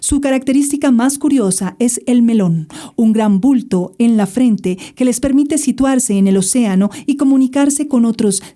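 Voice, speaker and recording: A woman voicing a calm, formal newsreader, reading in a measured, even way. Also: fairly high pitch, natural voice. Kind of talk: demonstrating a neutral newsreading voice.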